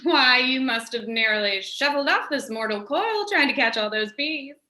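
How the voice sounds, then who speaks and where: A woman's voice: high voice, expressive, moderate pace, pushed and bright, echoey room. A woman playing a brooding prince as a chatty, perky neighbor in a comic parody radio ad. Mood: perky, curious.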